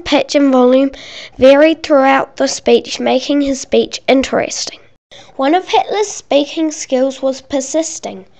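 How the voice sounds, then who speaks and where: A woman's voice: sits high, darkish tone. A woman reading scripted narration for a school project, carefully and with some stumbles over words. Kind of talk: scripted narration, read aloud.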